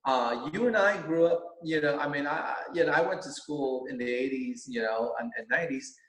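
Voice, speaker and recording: A middle-aged man whose first language is Vietnamese, a teacher running for school board, speaking earnestly with many halting restarts. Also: fairly high pitch, a bit bright. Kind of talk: earnest interview talk. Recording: roomy echo.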